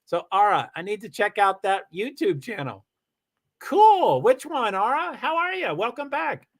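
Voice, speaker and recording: A man streaming live from home, chatting casually and rambling excitedly with lots of exclamations and restarts. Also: high-pitched, unhurried. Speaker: live streamer reading chat. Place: home in Washington State.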